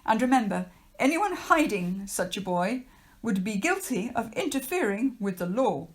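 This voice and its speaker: A woman's voice: on the high side, very animated, moderate pace, voice pushed up. A woman reading a storybook, voicing a stern, sinister man who gives commanding, clipped, threatening orders. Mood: officious, threatening. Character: stern, sinister adult man, officious hunter of a runaway.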